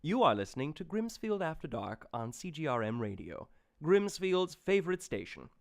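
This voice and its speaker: An actor playing a formal, presentational host and announcer of an old-time radio station. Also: male voice, a little high, sing-song, moderate pace. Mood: formal, genial. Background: music.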